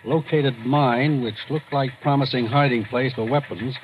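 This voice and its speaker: Actor as brisk, dry commissioner. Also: male voice, mid-range voice, lo-fi sound, lightly acted. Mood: dry, businesslike. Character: businesslike male police commissioner reading out reports. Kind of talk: old-time radio drama acting. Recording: noisy vintage radio broadcast recording.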